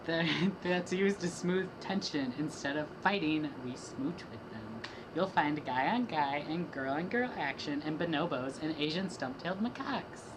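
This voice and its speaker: A woman's voice: low voice, animated, normal speed, hardly any pauses, background noise. A young woman doing a dramatic reading of rap lyrics as a student project, trading rhythmic lines with a partner. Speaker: student reader.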